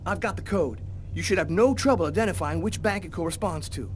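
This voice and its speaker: Higher-voiced, helpful male police partner played by an actor. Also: a little fast, big-room sound, slight background noise, close to an everyday voice. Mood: eager, helpful. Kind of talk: dramatic game acting.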